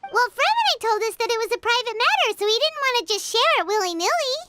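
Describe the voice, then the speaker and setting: A woman voicing a tiny, chatty, excitable, childlike fairy companion in a video game, with music playing behind her. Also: very high-pitched, quick pace, full character voice. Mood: curious. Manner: bubbly, exclaiming, refers to self in third person. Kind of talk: animated video game voice acting.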